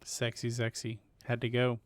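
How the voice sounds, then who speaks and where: A man's voice: mid-range voice, completely flat delivery, moderate pace. A man giving casual live commentary on a game as a streamer, musing and joking as he goes. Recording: game music faint behind voice.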